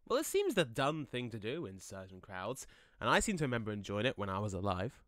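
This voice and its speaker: A man's voice: fairly low pitch, big pitch swings, fairly quick, a bit bright, no room sound, clean studio sound. A man acting in an audio play as a jokey, laid-back ghost with a casual, slangy, rambling way of talking. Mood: chatty, wistful. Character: chatty, jokey young male ghost, laid-back slacker.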